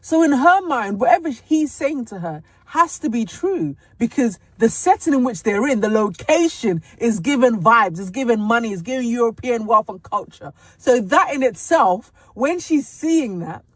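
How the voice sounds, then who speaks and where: A woman's voice: a little high, lively intonation, moderate pace, few pauses, breathy edge, dry recording. A woman hosting reaction commentary who breaks things down in a sassy, emphatic way. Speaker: commentary host.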